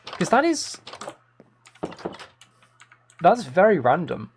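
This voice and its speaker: A man's voice: sits high, wildly swooping pitch, slow pace, breathy edge. Man chatting casually on a live stream. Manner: rambling, playful, thinking aloud. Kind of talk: casual chat while playing a game live.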